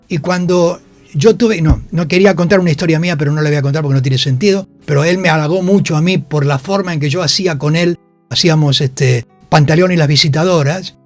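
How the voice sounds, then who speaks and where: A man's voice: fairly high pitch, fairly quick, soft background music. A man, a theatre actor and director, talks in a radio interview, telling anecdotes emphatically and repeating phrases. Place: radio studio.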